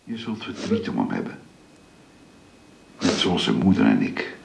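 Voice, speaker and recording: An actor playing an older father in a radio drama, speaking gravely and slowly with a very deep, gravelly voice. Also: wildly swooping pitch, a little hiss or hum, exaggerated voice. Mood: solemn. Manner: grave, slow, fading to a whisper. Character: an artist's stern, older father, remembered words.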